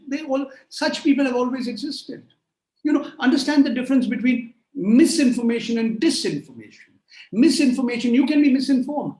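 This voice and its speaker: Man in his sixties, high voice, emphatic lecturing, echoey room on a video call. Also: a little mellow. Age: 60s. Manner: emphatic, rhetorical, repeats phrases in lists. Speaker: guest speaker. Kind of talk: lecture answering audience questions.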